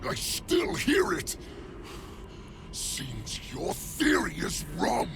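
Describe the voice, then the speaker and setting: Game devil, male: menacing and bitter, drawn-out theatrical delivery with big swings from brooding to snarling, music underneath. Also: sits high, huge pitch swings, creaky, full character voice. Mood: furious. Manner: theatrical, snarling, savoring words, sudden outbursts. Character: menacing male devil bound by a cruel contract, proud and bitter. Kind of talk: video game character acting. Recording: music behind the voice.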